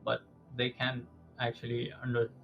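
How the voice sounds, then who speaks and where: A man's voice: mid-range voice, monotone, moderate pace, creaky, faint music behind. A young man with an Indian accent, a native Urdu speaker, explaining things as a guest in a casual interview and often checking with "right?". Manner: explanatory, with repeated "actually" and "right?". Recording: dull lo-fi sound.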